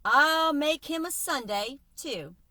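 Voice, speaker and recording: A woman's voice: high-pitched, lively intonation, slow, sharp tone, no room sound, pitched above the performer's own voice, heavily acted. A woman voicing a friendly, obliging, easygoing lunch counterman in a children's storybook.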